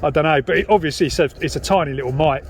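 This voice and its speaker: A man's voice: a little high, very fast, a little hiss or hum. A British man in casual, informal radio chat while walking outdoors, hesitating and trailing off now and then. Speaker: radio hobbyist and vlogger. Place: outdoors on a dog walk.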